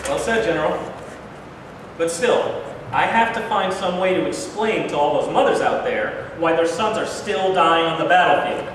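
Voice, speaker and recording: A man's voice: on the high side, lively intonation, moderate pace, lightly acted. The sound is distant and noisy, ringing with heavy echo. A man acts out an irritable, plain-spoken president in a staged reading, blunt and short on patience. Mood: troubled. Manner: blunt, impatient, testy. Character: irritable, plain-spoken American president, worried about war casualties. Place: live reading in a large echoing room. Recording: very echoey noisy hall, from far off.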